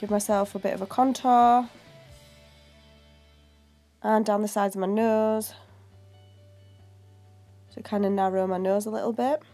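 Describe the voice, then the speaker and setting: A woman's voice: medium pitch, fairly even intonation, measured pace, long pauses, mellow, faint music behind. Chesty woman narrating makeup. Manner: casual, chatty, step-by-step explaining. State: chesty with a cold. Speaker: beauty vlogger. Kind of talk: makeup tutorial voiceover. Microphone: home voiceover mic.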